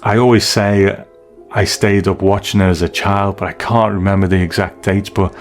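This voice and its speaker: A man's voice: deep voice, flat delivery, normal speed, soft background music. A middle-aged British man talking in a chatty, conversational way as a podcast host, casually going through listener feedback. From United Kingdom.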